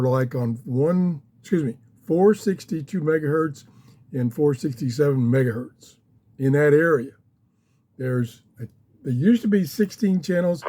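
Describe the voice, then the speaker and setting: A man talks casually to his viewers in a soft-edged, mellow voice. He is very slow, breaking his thoughts into short phrases with pauses, like an unscripted explainer. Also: fairly high pitch. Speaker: radio hobbyist host. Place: small home office.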